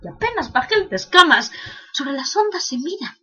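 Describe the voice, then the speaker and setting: A woman's voice: high-pitched, big pitch swings, normal speed, sharp tone, muffled recording, exaggerated voice. A woman playing an anguished imprisoned prince in a declamatory, impassioned classical verse monologue, bitterly questioning heaven. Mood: wounded, yearning.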